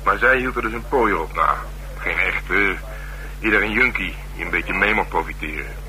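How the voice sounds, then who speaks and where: A worldly, gruff police detective in a radio play, with a low voice. He talks in streetwise slang, dry and wry. The recording carries clear noise. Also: normal speed, bright, forceful tone. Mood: wry, matter-of-fact. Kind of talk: Dutch radio drama dialogue. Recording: noisy radio drama recording.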